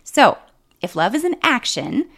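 A woman co-hosting a faith-based marriage podcast, speaking in a measured, unhurried way. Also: a little high, sing-song, fairly bright tone. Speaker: podcast co-host.

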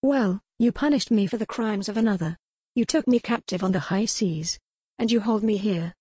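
A woman's voice: medium pitch, moderate pace, husky, no room sound, lightly acted. A woman voicing a spirited, homesick young noblewoman held captive in a romance audiobook, speaking earnestly. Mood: challenging. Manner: soft, earnest, reproachful. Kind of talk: romance audiobook character dialogue.

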